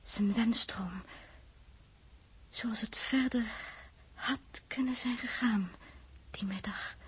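An actress playing a compassionate, sorrowful woman on a space crew with a breathy, hushed voice, in 1960s radio drama style. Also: on the high side, takes it slowly, full character voice. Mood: hushed, sad.

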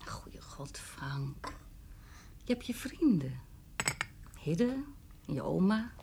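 An actress playing a gentle, wistful woman in an intimate radio play, speaking in a hushed, halting way. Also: on the low side, big pitch swings, vocal fry, full character voice. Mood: pained, tender. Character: gentle, wistful adult woman consoling a teenage boy. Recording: radio play, faint noise.

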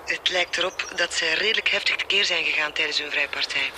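Street noise and traffic surround a fairly high-pitched Flemish man as a forensic doctor. He reports in a factual, clinical manner, as in a radio crime drama. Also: fairly quick, sharp tone. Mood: matter-of-fact. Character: male forensic doctor, matter-of-fact, reporting findings. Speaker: police doctor.

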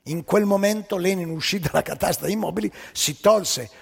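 Raspy high-voiced man telling a story. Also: moderate pace, big-room sound. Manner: ironic, emphatic rhetorical questions. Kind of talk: theatrical storytelling monologue.

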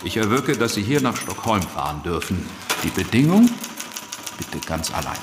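An actor playing a confident, scheming political dealmaker in a German radio play, with a clipped, brash, self-assured manner. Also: male voice, deep voice, big pitch swings, moderate pace, background noise. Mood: brisk, smug. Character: confident scheming male political dealmaker dictating urgent messages. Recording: echoey hall, long reverb.

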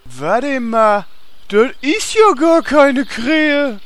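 A man's voice: extremely high pitch, animated, very slow, fairly bright tone, dry recording, exaggerated voice. A man playing a dim, naive, easily confused adventurer in a comedic fantasy audio drama, hesitant and polite. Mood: puzzled.

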